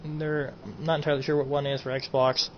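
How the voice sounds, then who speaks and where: A man's voice: a little high, little pitch movement, brisk. A man streaming a casual game mod showcase, rambling and repeating himself as he trails off. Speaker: gaming streamer. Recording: dull lo-fi home recording, faint noise.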